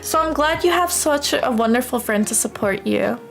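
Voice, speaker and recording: High-voiced young woman streamer, affectionate. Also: animated, normal speed, gravelly, faint music behind. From United States. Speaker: live streamer. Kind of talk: casual livestream chat.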